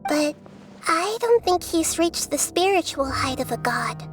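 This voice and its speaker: A woman playing a small young goddess of wisdom in a video game, calm, measured and analytical, with a childlike voice. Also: very high-pitched, over music. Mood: calm, solemn.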